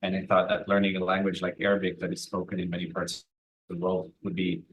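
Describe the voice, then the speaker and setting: A man in his thirties from Italian-speaking Switzerland, telling his story as an interview guest in a measured, articulate way. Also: low-pitched, flat delivery, dull audio, a little hiss or hum. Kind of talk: conversational personal storytelling.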